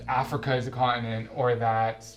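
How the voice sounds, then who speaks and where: An actor playing a patient male counselor in a comedy web series, gently coaxing and instructing. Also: mid-range voice, level tone, a little fast, echoey room, slight background noise, close to an everyday voice. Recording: distant mic with music behind.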